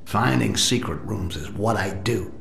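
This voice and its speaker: A man voicing a cunning, sardonic goblin thief in a video game, with a gravelly, wry delivery. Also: lowish voice, unhurried, fairly bright tone, slight background noise, heavily acted. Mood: sarcastic. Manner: sarcastic, wry, muttering to himself. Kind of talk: video game character acting.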